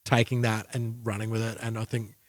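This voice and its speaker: A man's voice: on the low side, monotone, fairly quick, no echo. A man co-hosting a music podcast in an informal, conversational chat style.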